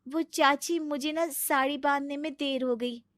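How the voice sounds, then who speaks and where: A woman's voice: high-pitched, fairly even intonation, measured pace, fairly bright tone, no room sound, studio quality, pitched above the performer's own voice. A woman voicing a young, polite new daughter-in-law in a family story, earnest, meek and respectful.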